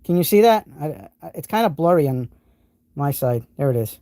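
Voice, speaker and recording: Mellow-voiced New England man, enthusiastic. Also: fairly high pitch, very animated, moderate pace, no room sound, clean studio sound. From New England, United States. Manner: enthusiastic, rambling with frequent restarts. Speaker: host of a live tool stream. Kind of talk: casual live stream, showing tools and answering chat.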